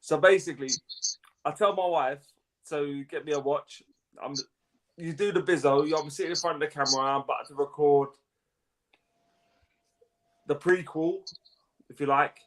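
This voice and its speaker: A man's voice: a little high, steady tone, moderate pace, long pauses, a bit bright, poor audio. Slangy man telling a story. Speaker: co-host. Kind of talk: casual chat, storytelling.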